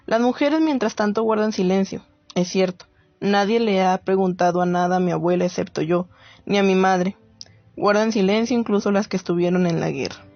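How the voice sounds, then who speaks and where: A woman playing a reflective writer, narrating a radio play in a solemn, deliberate, literary way. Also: mid-range voice, no echo, muffled recording, lightly acted. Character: reflective woman writer narrating her search for women's war stories.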